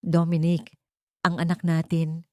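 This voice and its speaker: A woman's voice: fairly low pitch, monotone, unhurried, warm tone, no echo, lightly acted. A woman acts out a wronged young mother in an audio melodrama. She sounds heartbroken and anguished, with a dramatic, pleading manner, recorded dry. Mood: weak pleading.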